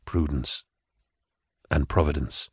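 A man voicing a polite, refined middle-aged male telepath who speaks in a hushed, measured way. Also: very deep voice, steady tone, breathy edge, dry recording, clean studio sound, pitched below the performer's own voice, full character voice. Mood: calming.

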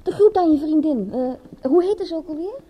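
An actress playing a bored, guilty young fashion model in a radio drama, with a breathy, languid, confiding delivery. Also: female voice, high voice, expressive, moderate pace, dull audio. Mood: casual, evasive. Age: young adult. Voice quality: husky, breathy. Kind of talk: radio drama acting in Dutch.

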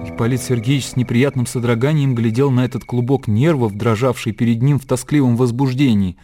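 A man's voice: mid-range voice, fairly even intonation, fairly quick, over music. A man reading scripted literary narration as the narrator of a radio drama.

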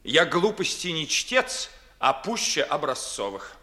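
An actor playing a proud, witty young gentleman in a 1950s classical radio play, declaiming verse with sardonic emphasis. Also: on the high side, sing-song, measured pace, heavily acted.

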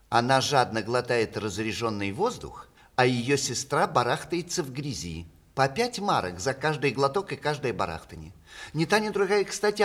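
Flirtatious, ironic playwright, mid-pitched and highly animated, precise 1960s radio-drama delivery. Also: male voice. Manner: ironic, declamatory, precise stage diction. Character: witty, vain, ironic playwright writing flirtatious letters. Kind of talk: 1960s Soviet radio theatre acting.

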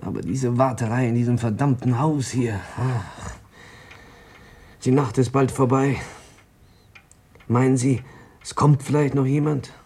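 A man's voice: mid-range voice, steady tone, unhurried, pauses often, darkish tone, breathy edge. An actor playing a junior police detective in a radio play, informal and grumbling but dutiful towards his chief. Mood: weary, grumbling. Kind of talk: German radio play acting.

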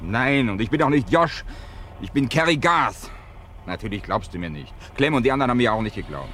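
A man's voice: mid-range voice, animated, fairly quick, noisy background, natural voice. An actor playing an insistent, defiant man on the run in a radio crime play. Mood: weary.

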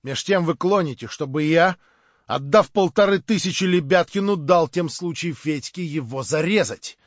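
Man reading as a haughty contemptuous young aristocrat, curt, a bit high, muffled dry sound. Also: normal speed, dry recording, natural voice. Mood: scornful. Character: cold proud young nobleman, contemptuous and angry. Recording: dull lo-fi dry recording.

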